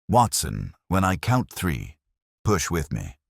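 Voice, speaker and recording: A grave, commanding Victorian detective from an audio mystery , acted by a male reader. The voice is extremely low and closely recorded without room sound, with deliberate, terse delivery and calm precision. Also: gravelly, no echo, studio quality, heavily acted. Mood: intent.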